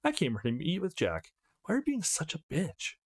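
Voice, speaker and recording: Visual novel role: male reader as feisty young woman, foul-mouthed tease, blunt gossip, very lively intonation. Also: fairly high pitch, fairly quick, pitched above the performer's own voice. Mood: indignant. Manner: cheeky, blunt, gossipy storytelling.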